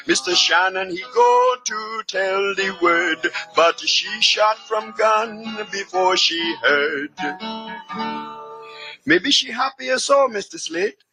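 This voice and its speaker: A man's voice: high-pitched, full character voice, rough recording. A man acting a calypso balladeer, telling a tale in sing-song rhyme for a 1950s radio drama.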